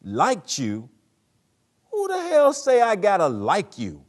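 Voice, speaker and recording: A man playing a gruff, scornful father in a dramatic play reading, combative and incredulous. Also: high-pitched, wildly swooping pitch, takes it slowly, pitched above the performer's own voice, heavily acted. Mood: scornful anger. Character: gruff, scornful father berating his son.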